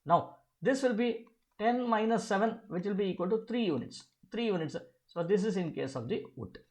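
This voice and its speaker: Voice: mid-range voice, expressive, normal speed, darkish tone. A physics teacher walking through an exam problem step by step, asking and answering their own questions and repeating key phrases.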